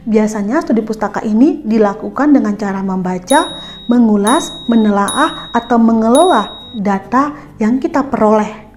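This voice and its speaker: The higher-pitched woman you would hear on an online school lesson video, instructing pupils directly over a music bed. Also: expressive, normal speed, a little mellow. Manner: instructive, addresses pupils directly with check-in questions. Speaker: teacher presenting an educational video. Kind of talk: explanatory school lesson narration.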